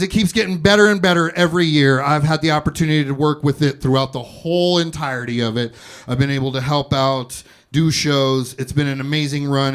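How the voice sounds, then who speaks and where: A man hosting a stand-up comedy show, warming up the crowd with loud, playful banter from the stage. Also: on the high side, slight background noise. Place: comedy club stage before a live audience.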